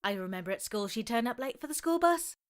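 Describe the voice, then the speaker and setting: A woman's voice: fairly high pitch, animated, fast, lightly acted. A British woman playing a flighty, blasé cousin in an audio comedy, chatty and offhand. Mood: blasé, gossipy. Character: flighty, blasé, gossipy female cousin being interviewed. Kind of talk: audio comedy sketch acting.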